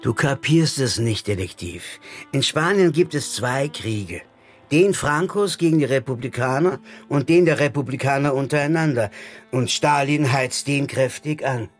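An actor playing a jaded, defiant anarchist stage magician in a German radio crime drama, talking colloquially and chummily with a theatrical streak. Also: male voice, mid-range voice, normal speed, soft background music, natural voice. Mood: earnest, bitter. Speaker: supporting actor.

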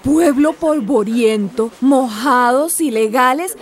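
A woman's voice: high voice, slow. A woman acting an outspoken, scornful migrant woman in a radio drama. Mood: indignant. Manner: blunt, scornful. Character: outspoken, indignant migrant woman scolding a newscast.

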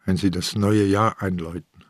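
Low-voiced gruff old police inspector. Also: male voice, fairly even intonation, moderate pace, no room sound, studio quality. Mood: brooding. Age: older. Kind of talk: German radio drama acting.

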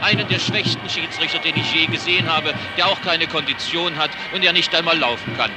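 A man's voice: on the high side, brisk, loud music behind the voice, rough recording. A man giving live football radio commentary in an archive broadcast. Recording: archive broadcast with loud background noise.

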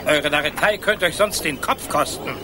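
A man's voice: on the high side, normal speed, noisy background, lightly acted. An actor playing an impatient, haughty male nobleman of the imperial court, in a radio play. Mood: imperious. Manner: imperious, irritable. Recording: radio play with street ambience.

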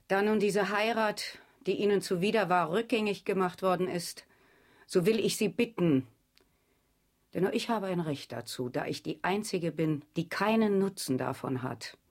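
A self-possessed married lady played by an actress in a radio drama. She has a middle-pitched voice and speaks formally and deliberately, like a petitioner. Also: a little mellow, close to an everyday voice. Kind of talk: German radio drama acting.

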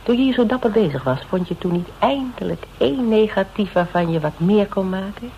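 A woman's voice: medium pitch, expressive, a little fast, mellow, highs cut off, close to an everyday voice. An actress playing a shrewd, mocking female interrogator in a radio drama, with a needling, conversational manner. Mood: accusing, probing. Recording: noisy radio drama recording.

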